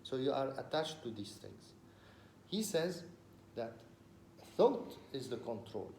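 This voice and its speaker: A man's voice: medium pitch, sing-song, slow, gravelly, darkish tone. Man from the Levant, mid-range voice, earnest and hesitant, explaining a talk; roomy, lightly noisy recording. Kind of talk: casual explanatory conversation.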